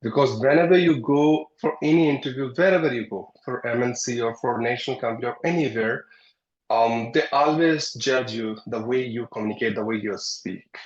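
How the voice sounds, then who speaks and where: A man from India whose first language is Hindi, speaking earnestly in a practice interview and pushing his pitch up to stress points. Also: moderate pace, echoey room, dull audio. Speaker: English learner and channel host.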